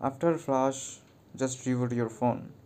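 Male tech tutorial narrator, smooth and flat in delivery, short step-by-step lines. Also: mid-range voice, slow pace. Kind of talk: scripted how-to narration.

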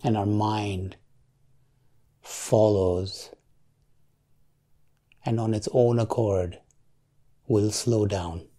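A man leading a guided meditation in a calm, measured way, leaving long pauses between short phrases. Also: fairly low pitch.

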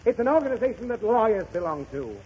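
A self-important, exasperated American father in a vintage radio comedy. The voice is high and very animated, blustering with formal airs. The old recording is muffled and faintly noisy. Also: quick pace. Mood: impatient. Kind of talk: 1940s radio comedy acting.

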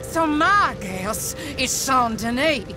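A woman's voice: sits high, animated, takes it slowly. A woman playing a haughty, defiant old plantation matriarch with a Southern US accent, spitting scorn in a game scene. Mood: bitter, spiteful. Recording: cinematic music behind the voice.

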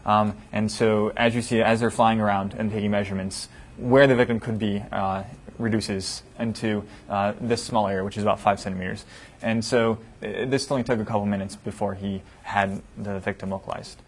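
A man explaining research results as a researcher in an interview, with an even delivery. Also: lowish voice, normal speed. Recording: clear background room noise.

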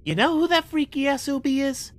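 A woman's voice: high-pitched, expressive, normal speed. A woman voicing a cocky young cartoon boyfriend with a flippant, sweary, casual manner in a game cutscene. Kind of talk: fan game cutscene voice acting.

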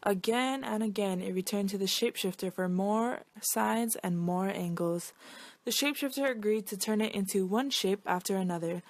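A woman reads a children's storybook aloud with expression. Her voice sits at an ordinary female pitch, and the sound is dry, with little room around it. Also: moderate pace, dry recording. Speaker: reader of a children's picture book.

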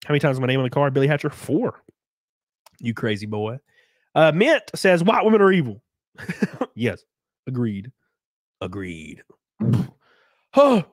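A man in his thirties hosting a casual live stream from a small home room, close on a boom mic, joking crudely and sounding congested from a cold. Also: very animated, a little slow, long pauses, no echo. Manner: crude, joking, laughs often. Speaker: livestream host. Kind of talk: casual live-stream chat reading donations. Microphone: studio mic on boom arm, close.

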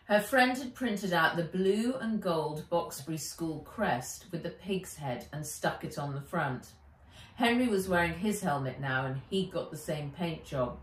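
A middle-aged woman, an author reading aloud from a children's storybook in an expressive narrating style. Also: deep voice, a little slow. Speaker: author reading own book. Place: living room or sunroom, indoors. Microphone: camera or phone a few feet away. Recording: roomy, distant home recording.

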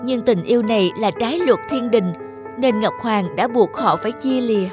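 A woman playing a gentle, devoted young fairy maiden in a cartoon fairy tale, speaking politely and earnestly. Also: fairly high pitch, normal speed, natural voice. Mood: tender, wistful. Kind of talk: animated fairy tale acting. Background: background music.

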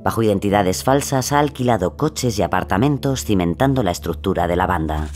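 A man's voice: deep voice, expressive, fairly quick, a little mellow. A man narrating a true-crime story from a script. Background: music.

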